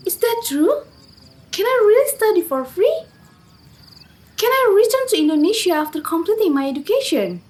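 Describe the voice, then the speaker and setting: A woman's voice: extremely high pitch, sing-song, moderate pace, pauses often, darkish tone. A woman playing an earnest, polite and innocent young Indonesian village girl in a radio drama. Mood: eager hope.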